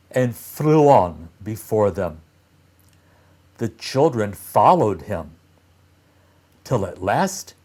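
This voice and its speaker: A man reading a fairy tale aloud for young children in deliberate phrases, with frequent pauses and expressive emphasis. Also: fairly low pitch.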